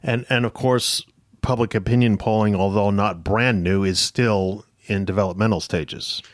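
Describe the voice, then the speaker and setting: Lower-voiced man hosting a history podcast. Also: fairly even intonation, normal speed, dry recording, clean studio sound. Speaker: podcast host. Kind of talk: interviewing academic guests on a history podcast.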